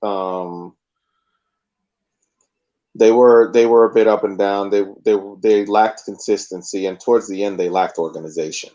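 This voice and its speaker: A man co-hosting a sports podcast, talking in a measured, hesitant way with frequent pauses. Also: on the low side, steady tone, dark tone. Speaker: podcast co-host. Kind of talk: casual sports podcast chat. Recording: dull lo-fi mic.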